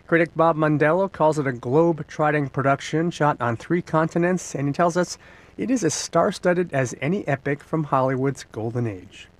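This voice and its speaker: A man's voice: a little high, normal speed. An American man hosting on radio, reading a scripted introduction to an arts segment. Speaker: radio host.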